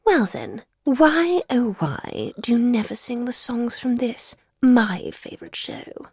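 A woman's voice: on the high side, sing-song, slow pace, a little mellow, breathy edge, no echo, studio quality, heavily acted. Smug, purring female cat, voiced by a woman. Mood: sneering. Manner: purring, condescending, theatrical drawl. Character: smug, sneering female pet cat, haughty and taunting. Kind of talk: dramatised children's audiobook.

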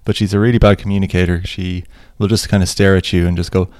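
A man's voice: low voice, little pitch movement, quick pace, a little mellow, dry recording, clean studio sound. A man co-hosting a casual podcast, joking and rambling. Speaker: podcast co-host. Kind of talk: casual chat.

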